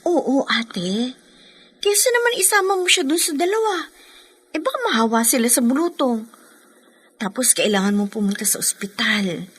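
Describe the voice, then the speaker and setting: Husky, high-voiced Filipino younger sister. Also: female voice, lively intonation, normal speed, long pauses, fairly bright tone. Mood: concerned, practical. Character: supportive, caring younger sister and aunt, gently insistent. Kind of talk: Tagalog radio drama acting.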